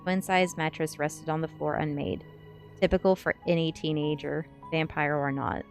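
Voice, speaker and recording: A woman reading a first-person story aloud in a measured ASMR narration style. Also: low-pitched, little pitch movement, soft background music. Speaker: streamer reading a novel aloud.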